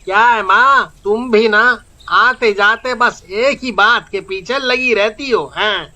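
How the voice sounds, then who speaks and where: High, bright, cocky young village man acted out. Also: takes it slowly, heavily acted. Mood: whiny, defensive. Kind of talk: acted moral-story dialogue.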